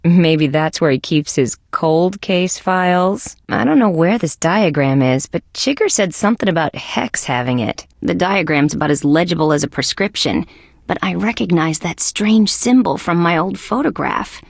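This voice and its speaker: Tough young female insect cop for a video game: lowish voice, wry, wisecracking, very dry close sound. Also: lively intonation, moderate pace, creaky, no room sound. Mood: businesslike. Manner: sardonic, wisecracking. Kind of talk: video game character lines.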